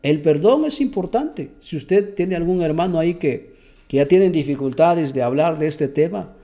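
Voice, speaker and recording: Earnest preacher guy, high swingy voice, kinda soft and dark in tone. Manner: earnest, repeats words when searching. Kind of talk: testimony and bible teaching.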